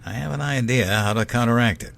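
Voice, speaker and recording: A man playing a calm, reassuring, seasoned ship's doctor in a science fiction audio drama. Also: medium pitch, quick pace, creaky, a little hiss or hum.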